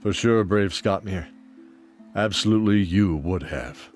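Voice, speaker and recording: A man's voice: sits low, measured pace. A man voicing a courteous, grizzled knight in a fantasy audio drama, with formal, encouraging speech. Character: courteous, chivalrous grizzled male knight warrior. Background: music.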